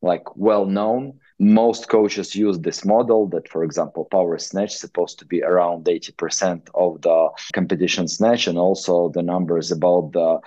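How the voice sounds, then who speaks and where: A deep, mellow-voiced Ukrainian-accented man gives a slide lecture over a video call, speaking halting non-native English with frequent pauses and fillers. The sound is dry and dull, like lo-fi call audio. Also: fairly even intonation, dry recording. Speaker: guest lecturer, weightlifting coach. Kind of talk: online presentation lecture.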